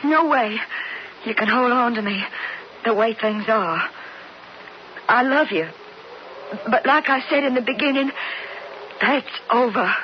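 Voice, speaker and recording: An actress playing a loving, resigned young rancher's daughter in a radio western, plaintive, with an American Western drawl. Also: female voice, on the high side, unhurried, long pauses, natural voice. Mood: tender, sorrowful. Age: young adult. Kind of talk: radio western drama acting. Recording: muffled, noisy 1970s radio broadcast.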